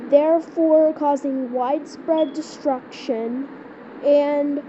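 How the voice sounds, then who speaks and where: A woman improvising an amateur skit in a halting way, repeating words as she searches for phrasing, on a lo-fi recording. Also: sits high, fairly even intonation. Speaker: amateur creator voicing a homemade emergency scenario. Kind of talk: improvised amateur skit and mock emergency announcement. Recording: dull lo-fi audio with loud background noise.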